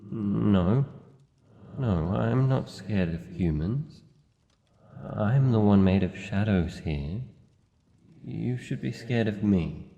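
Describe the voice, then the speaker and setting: An Australian man playing a wary, lonely living shadow monster in a fantasy ASMR roleplay, speaking in a formal, halting, guarded way. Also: fairly low pitch, expressive, gravelly, heavily acted. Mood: wary, menacing. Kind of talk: fantasy ASMR roleplay, slow deliberate delivery. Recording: muffled, long cavernous reverb, faint noise.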